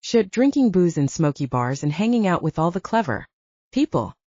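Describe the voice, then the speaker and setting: Low, mellow woman narrating, lively intonation. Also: normal speed, no room sound, muffled recording. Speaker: audiobook narrator. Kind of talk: scripted fiction narration, romance audiobook.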